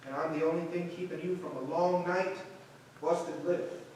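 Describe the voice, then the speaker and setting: Man playing a calm 1950s Harlem theater director, high male voice, encouraging, stage reading, very echoey. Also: normal speed, lightly acted. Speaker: stage actor. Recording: roomy far-off mic, faint noise.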